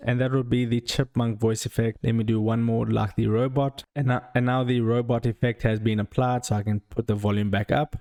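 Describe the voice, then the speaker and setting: A man's voice: mid-range voice, flat delivery, fairly quick, hardly any pauses, warm tone. A young man hosting a tech tutorial, explaining steps plainly into a handheld foam-covered microphone in a small room. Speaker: tutorial host. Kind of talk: screen-recorded tech tutorial.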